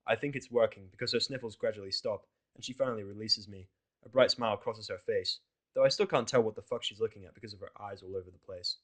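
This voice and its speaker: A man's voice: on the low side, fast talker, a bit bright. A man reading a first-person story as scripted narration, in a blunt, sweary manner. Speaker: audiodrama narrator of fan fiction.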